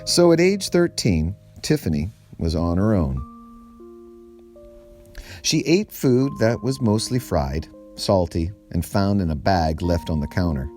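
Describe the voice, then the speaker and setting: Guy reading a story out loud, mellow lowish voice, really lively pitch, soft music behind. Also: a little slow. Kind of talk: expressive storybook narration.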